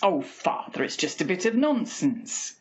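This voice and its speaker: A woman playing a fussy, chatty working-class mother in a radio play, homely and expressive. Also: lowish voice, a little slow, husky, highs cut off, exaggerated voice. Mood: uneasy.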